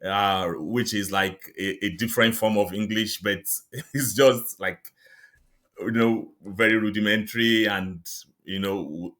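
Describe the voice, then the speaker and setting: A middle-aged Nigerian man whose first language is Yoruba, speaking as an interview guest in a measured, reflective way with light laughs. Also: fairly low pitch, steady tone, fairly bright tone. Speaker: guest, psychiatrist and author. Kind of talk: interview conversation.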